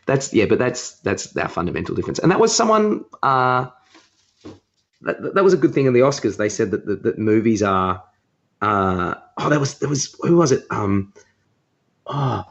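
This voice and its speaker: A man's voice: on the low side, very animated, moderate pace, muffled recording. A man co-hosting a podcast, chatting casually and giving his opinions. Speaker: podcast co-host.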